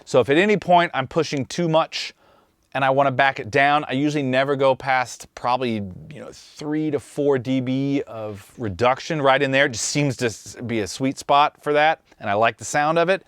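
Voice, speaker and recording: A man from Kansas talks through his studio mix in an easygoing, conversational way, like a host showing his own gear. His voice is smooth and clear and sits at an ordinary pitch. The sound is dry and close, with no room echo. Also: moderate pace, fairly bright tone. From Kansas, USA. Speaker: host, mixing engineer and drummer. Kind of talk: casual studio tutorial. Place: recording studio control room.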